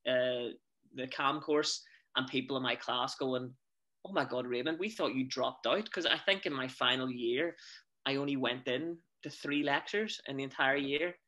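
A man's voice: mid-range voice, moderate pace, pushed and bright. A man in his thirties with a Northern Irish accent, chatting as an interview guest over a video call from a small room at home, telling stories with many fillers. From Northern Ireland. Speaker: guest, stage actor and singer. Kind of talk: casual conversational interview. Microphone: webcam on a video call.

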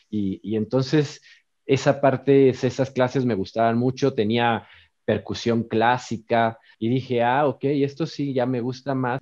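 A man's voice: medium pitch, dark tone. A man in his forties from Mexico City with a Mexico City accent, telling his story as an interview guest in an easygoing way from a home studio. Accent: Mexico City Spanish. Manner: easygoing, many fillers and tag questions. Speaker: musician guest. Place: home music studio, indoors. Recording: dull lo-fi video-call audio.

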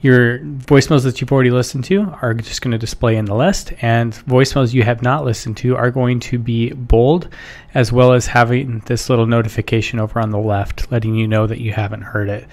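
A man, explaining software step by step in an informal, instructional way. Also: mid-range voice, steady tone, a little fast. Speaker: software tutorial presenter. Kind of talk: casual instructional screen-share narration.

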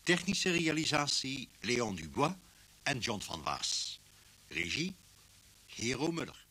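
A man's voice: medium pitch, sing-song, slow, pushed and bright, a little hiss or hum. A man announcing a radio serial in a formal, scripted style. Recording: old radio recording.

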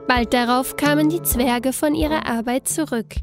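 A woman narrating a scripted fairy tale in an expressive, deliberate storytelling manner. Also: high voice, faint music behind.

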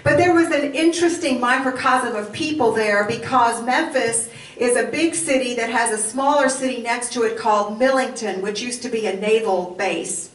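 An older woman from Poland whose first language is Yiddish, telling animated stories in a lecture with a handheld mic in a hall. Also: on the high side. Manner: animated, does voice imitations. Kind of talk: storytelling lecture. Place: hall with curtains, indoors. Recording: roomy hall from far off, faint noise.

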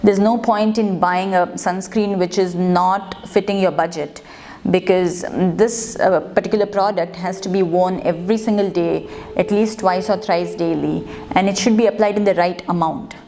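A woman in her thirties, a dermatologist, explaining to camera in a measured way with frequent pauses, recorded with a lapel mic in her clinic office. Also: on the low side, fairly even intonation, warm tone. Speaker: dermatologist, channel host.

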